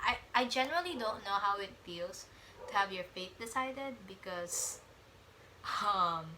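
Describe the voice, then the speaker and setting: A woman's voice: mid-range voice, noisy background. A young woman vlogging as she reads online stories aloud, rambling and stumbling over words with chatty asides. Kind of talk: casual chat mixed with reading aloud.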